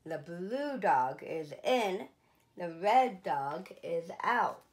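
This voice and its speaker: A woman in her thirties reading a picture book aloud for children, slow and clear, with lively rises on the dogs' exclamations. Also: on the low side. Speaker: children's museum storyteller. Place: small indoor room, seated in an armchair.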